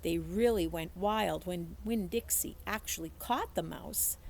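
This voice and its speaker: A woman reading a children's story aloud in an expressive, animated storytelling manner. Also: on the low side, a little slow, vocal fry, a little hiss or hum. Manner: animated storytelling, acts out dialogue. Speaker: teacher reading a book aloud. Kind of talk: expressive children's audiobook-style read-aloud.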